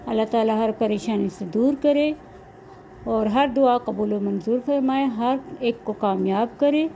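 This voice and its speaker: A woman's voice: fairly high pitch, a little slow, dark tone, low-quality recording. A woman narrating a recipe step by step as a cooking vlog host, in a homemade recording. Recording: clear background noise, homemade recording.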